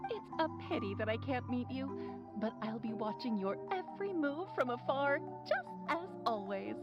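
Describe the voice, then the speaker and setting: A playful sorceress mother in a video game, high-voiced and swooping in pitch. She is teasing, chatty and theatrical, slightly dulled in sound over music. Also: lively intonation, normal speed, muffled recording. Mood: breezy, teasing. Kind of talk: English video game voice acting. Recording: band-limited audio with music behind.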